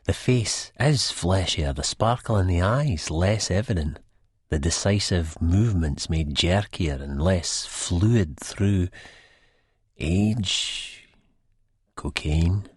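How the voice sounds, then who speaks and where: A man's voice: low-pitched, slow, a little mellow, slightly rough, no echo, clean studio sound, heavily acted. A man with a Scottish accent playing a wry, reflective, disillusioned first-person narrator in an audiobook. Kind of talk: first-person literary narration, character acting.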